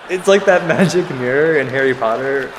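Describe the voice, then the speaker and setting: A man's voice: mid-range voice, normal speed, a little hiss or hum, close to an everyday voice. A man playing a self-deprecating stand-up comedian, conversational and wry as he delivers a routine. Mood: wry, amused. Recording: echoey venue reverb, faint music.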